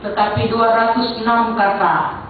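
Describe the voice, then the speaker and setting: A woman's voice: a little high, fairly even intonation, measured pace. A middle-aged woman from Central Sulawesi with a Sulawesi accent, chair of a church council, giving a conversational testimony to a congregation. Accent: Sulawesi Indonesian. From Central Sulawesi, Indonesia. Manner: conversational, addressing the congregation directly. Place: church hall. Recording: echoey church hall, distant mic, phone-like band, faint noise.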